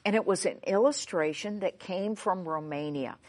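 A woman's voice: on the low side, normal speed, darkish tone. A middle-aged woman from the United States, a Bible teacher telling a story in a sermon. She speaks emphatically and acts out the dialogue.

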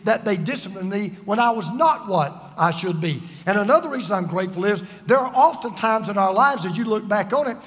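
A man's voice: high-pitched, animated, fairly quick, hardly any pauses. A man over fifty preaching a sermon, earnest and emphatic, telling personal stories with self-deprecating humor. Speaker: pastor. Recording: long reverb of a large hall.

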